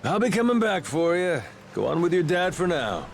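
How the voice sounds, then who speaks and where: An actor playing a confident, easygoing and persuasive pirate captain in a video game, talking in a cocky, casual, unhurried way. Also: male voice, high voice, sing-song, creaky, slight background noise, heavily acted. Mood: resolute, reassuring. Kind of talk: dramatic video game voice acting.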